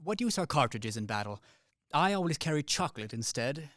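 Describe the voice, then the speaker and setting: An actor playing a weary Swiss mercenary soldier in a radio play, speaking in a dry, ironic and polite way. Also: male voice, fairly high pitch, sing-song, a little fast, fairly bright tone, no room sound. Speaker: Captain Bluntschli. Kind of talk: college radio play acting.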